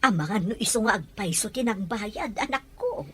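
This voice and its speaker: Woman acting a husky, probing Ilocano mother. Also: mid-range voice, expressive, normal speed. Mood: concerned, probing. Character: concerned, probing mother of a grown daughter. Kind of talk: Ilocano audio drama acting.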